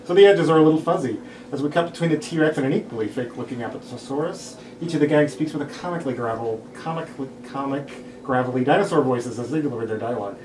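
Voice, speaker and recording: A man's voice: mid-range voice, fast talker. A man reading stage directions as narrator at a table read of a script. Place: room at a group table read. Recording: echoey room, distant mic, hiss.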